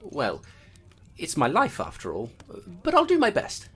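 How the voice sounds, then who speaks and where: An actor playing a nervous male rat constable in an audio drama, speaking in a rambling, halting way. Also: a little high, huge pitch swings, a bit bright, husky, heavily acted.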